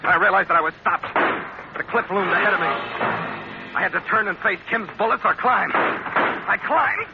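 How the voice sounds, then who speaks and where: Tough American sea captain, high-set male voice, clipped dramatic delivery, 1940s radio adventure, muffled recording. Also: moderate pace, gravelly, pauses often. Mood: tense. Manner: clipped, dramatic, hard-boiled. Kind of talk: 1940s radio adventure acting, first-person narration.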